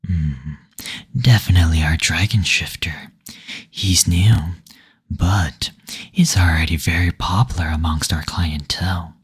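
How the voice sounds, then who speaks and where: Suave demon receptionist, male actor: deep, heavily breathy voice, seductive and teasing, for intimate audio roleplay. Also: fairly even intonation, exaggerated voice. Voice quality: breathy, husky, near-whispered. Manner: slow, coy teasing with endearments and drawn-out hums.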